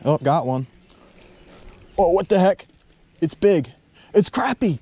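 A man narrating his fishing trip by a lake, talking casually and getting loud and excited when fish bite, recorded on a head-mounted camera. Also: high voice, takes it slowly. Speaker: fishing vlogger. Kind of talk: casual outdoor commentary. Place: outdoors by a lake below a dam. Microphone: head-mounted action camera. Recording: faint outdoor noise.

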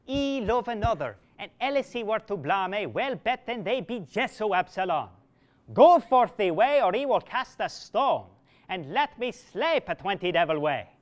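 A man reading aloud, voicing a scornful, teasing young married woman who scolds loudly and mocks. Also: high voice, sing-song, measured pace, voice pushed up. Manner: loud, mocking scolding.

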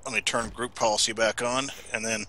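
A man's voice: on the low side, little pitch movement, fairly quick, bright, forceful tone. A man talking casually over voice chat as a teammate in a co-op game, laid-back and laughing easily. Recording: faint background noise.

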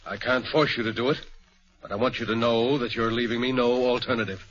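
A man's voice: mid-range voice, steady tone, brisk, fairly bright tone, slight background noise, close to an everyday voice. An actor playing an earnest, insistent stockbroker father in a 1940s radio drama. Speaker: client. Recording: dull old radio broadcast.

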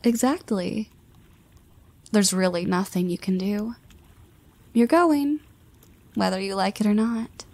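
A woman's voice: mid-range voice, slow pace, long pauses, a little mellow. A woman voicing a confident young woman who teases her friend, with playful half-whispered asides. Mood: teasing. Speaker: voice actress. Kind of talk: scripted audio roleplay.

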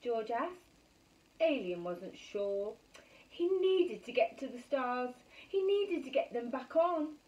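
Animated woman reading stories to children. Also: high voice, slow, muffled recording. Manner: animated, exclaiming, asking rhetorical questions. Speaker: primary school bedtime story reader. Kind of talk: expressive picture-book reading for children. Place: small room at home. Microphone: camera a metre or two away. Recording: slightly distant phone camera recording.

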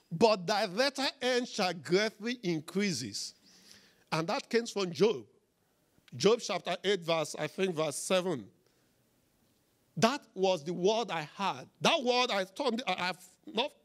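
A middle-aged man preaching a sermon as a pastor, emphatic and deliberate, in short repeated phrases into a handheld microphone. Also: high-pitched, fairly bright tone. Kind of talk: sermon with testimony and scripture. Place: church auditorium, on stage before a congregation.

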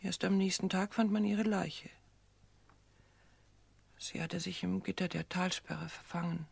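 Distraught guilty daughter in radio drama, breathy husky voice, creaky, halting. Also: female voice, lowish voice, steady tone, a little mellow, no echo, exaggerated voice. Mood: strained, evasive. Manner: fraught, halting, defensive. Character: distraught, guilt-ridden daughter worn down by her dead mother. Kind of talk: dramatic radio play acting.

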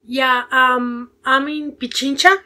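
A woman's voice: sits high, fairly even intonation, takes it slowly, pushed and bright. A nineteen-year-old woman from Ecuador, speaking English with a Spanish accent on a video call from home, hesitant and full of fillers as she searches for words. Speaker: guest. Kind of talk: casual video call interview. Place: home bedroom with bookshelves. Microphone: earphone mic on a video call.

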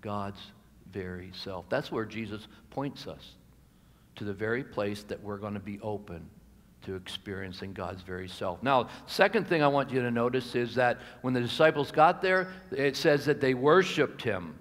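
An American man in his sixties gives a sermon as a pastor, measured and deliberate, pausing for emphasis and letting phrases fall softer. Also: on the low side, animated. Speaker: pastor preaching. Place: church sanctuary at a pulpit. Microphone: gooseneck pulpit microphone. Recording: reverberant hall, amplified pulpit sound.

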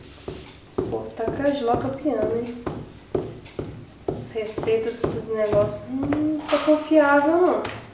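Chatty high-voiced Mineira woman cooking. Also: takes it slowly, pauses often, reverberant, background noise. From Brazil. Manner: exasperated, chatty, talks to viewers while cooking. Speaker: channel host. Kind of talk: casual cooking vlog chat. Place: home kitchen, indoors.

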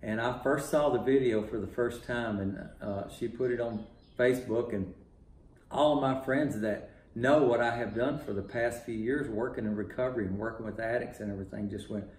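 A man's voice: medium pitch, moderate pace. Rambling man in a roomy studio. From Texas. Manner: relaxed, rambling with many fillers. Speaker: music producer. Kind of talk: casual interview talk. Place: home music studio, indoors.

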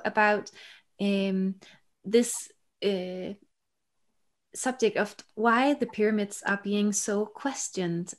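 A woman in her thirties interviewing casually as a webinar host, with polite, tentative phrasing. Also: fairly high pitch. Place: small office or room indoors. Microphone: video call.

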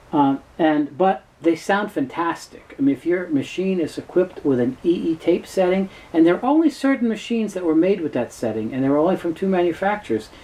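A man's voice: a little high, dark tone. A middle-aged man giving an informal, matter-of-fact product explanation as a presenter. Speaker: presenter, tape seller. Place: small cluttered indoor room full of shelves and tapes.

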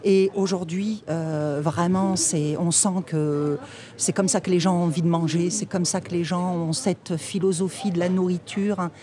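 A woman's voice: lowish voice, steady tone, moderate pace, warm tone. A middle-aged woman, co-manager of a restaurant and the mother of the pair, chatting easily in a radio interview on an outdoor terrace. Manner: chatty, addresses host with "si tu veux". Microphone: table mic on stand.

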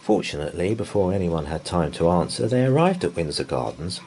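A man reading a bedtime story aloud in an expressive, deliberate way. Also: deep voice. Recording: faint background noise.

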